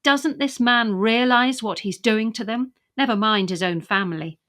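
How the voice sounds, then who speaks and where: A woman's voice: on the high side, normal speed, a bit bright. A British woman co-hosting a podcast, moving between chatty presenting and scripted reading. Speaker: podcast co-host.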